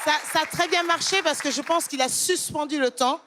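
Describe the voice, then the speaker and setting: A woman's voice: high-pitched, fairly quick, crowd noise. A woman judging a talent show, giving spoken feedback to a contestant. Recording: noisy hall with audience.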